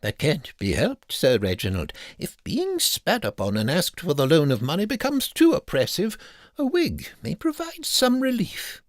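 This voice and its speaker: A man's voice: fairly high pitch, wildly swooping pitch, normal speed, no room sound. A man acts out a Victorian doctor in a comic audio story, solemn and fussy. His formal speech rises and falls theatrically, in a close, dry sound. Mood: earnest. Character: grave, formal, fussy male Victorian physician.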